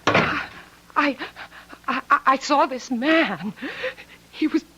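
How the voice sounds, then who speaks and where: An actress playing a frightened, pleading woman in a radio drama, halting and stammering. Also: high-pitched, expressive, sharp tone, lo-fi sound, full character voice. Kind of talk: old-time radio drama acting. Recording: old radio drama recording.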